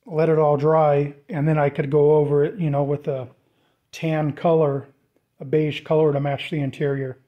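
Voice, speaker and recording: A man giving a casual, off-the-cuff walkthrough of a DIY project, informal and thinking out loud as he goes. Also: a little high, level tone, moderate pace, phone-quality sound. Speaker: DIY vlogger presenting a build.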